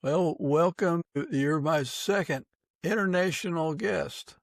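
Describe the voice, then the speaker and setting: A man's voice: on the high side, slow, vocal fry, no echo, clean studio sound. A man hosting an interview podcast, giving introductions and putting questions to his guest.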